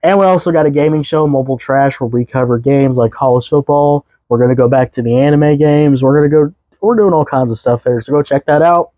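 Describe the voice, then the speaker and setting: A young man hosting a casual music review podcast, his voice a bit hoarse, rambling loosely with fillers and restarts. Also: mid-range voice, brisk, few pauses, dark tone, dry recording, dull audio. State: voice slightly hoarse.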